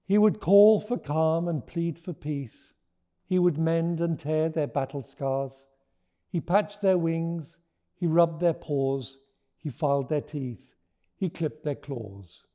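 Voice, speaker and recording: A man reading a children's storybook aloud and talking about it, with deliberate, expressive phrasing. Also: fairly high pitch. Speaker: children's author reading his book aloud. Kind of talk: expressive storybook read-aloud with teaching notes.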